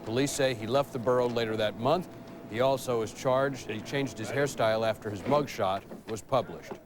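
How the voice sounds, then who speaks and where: A man's voice: medium pitch, normal speed. American male news anchor, noisy recording. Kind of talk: scripted news reading. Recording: clearly noisy broadcast recording.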